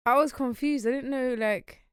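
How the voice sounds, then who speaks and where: A woman's voice: sits high, normal speed, clean tone, dry recording, clean studio sound. A young woman hosting a podcast, chatty and encouraging, using slang as she interviews her guest. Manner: chatty and encouraging, uses slang like "wicked" and "sick". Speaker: podcast host. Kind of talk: casual interview chat.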